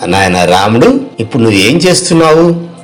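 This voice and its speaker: A man's voice: mid-range voice, big pitch swings, a little fast, soft background music, natural voice. A man playing a kindly elderly village teacher in a moral story, speaking formally and in a fatherly way. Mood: fond, pleased.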